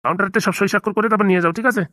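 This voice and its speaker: A woman's voice: medium pitch, fairly even intonation, very fast, fairly bright tone, dry recording, clean studio sound, lightly acted. A woman playing a breezy male hospital doctor in a comedy cartoon, casual, jocular and reassuring.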